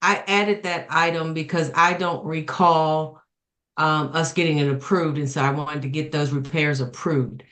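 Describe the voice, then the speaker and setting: A woman's voice: low voice, fairly even intonation, measured pace, fairly bright tone. A woman on a town council, raising points politely and hesitantly over a video call. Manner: polite and hesitant, with apologies and fillers. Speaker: council member. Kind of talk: council meeting discussion.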